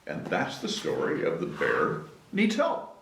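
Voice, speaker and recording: Very animated man reading to children, echoey room. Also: sits low, unhurried. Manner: playful, coaxing, invites listeners to join in. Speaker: story time reader, host. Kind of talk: animated picture-book read-aloud to children. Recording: echoey room, distant microphone.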